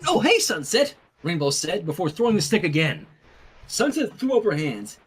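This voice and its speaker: Fan fiction reader: male, very expressive swooping pitch, slightly raspy, casual between passages. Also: normal speed. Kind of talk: animated story reading with casual asides.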